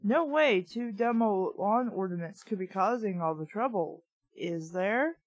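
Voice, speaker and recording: A woman's voice: mid-range voice, expressive, measured pace, dry recording, dull audio. A woman reading a book aloud casually, breaking off into snarky, rambling, conversational asides. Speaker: host reading a children's book aloud with commentary.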